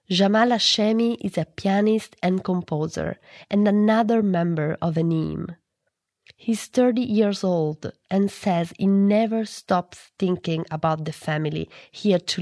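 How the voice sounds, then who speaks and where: A woman's voice: on the low side, darkish tone, dry recording, studio quality. A woman reading scripted narration as a radio news reporter.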